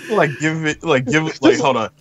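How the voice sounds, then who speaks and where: A man's voice: on the high side, very animated, normal speed. A man co-hosting a movie podcast, picking apart the plot with sarcastic quotes and frequent restarts. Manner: hesitant restarts, sarcastic quoting. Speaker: podcast co-host. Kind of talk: casual movie-review chat.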